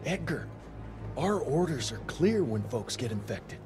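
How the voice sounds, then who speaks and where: A man's voice: mid-range voice, big pitch swings, measured pace, music in the background. A man playing a hot-tempered, stubborn gunslinger in a video game, blunt and foul-mouthed. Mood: defiant. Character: defiant, hot-tempered male gunslinger, tough and loyal.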